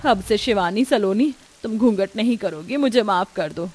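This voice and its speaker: A woman's voice: medium pitch, animated, moderate pace, darkish tone, lightly acted. A woman voicing a stern older mother-in-law in a story, bossy, suspicious and scolding. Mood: remorseful. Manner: scolding, commanding.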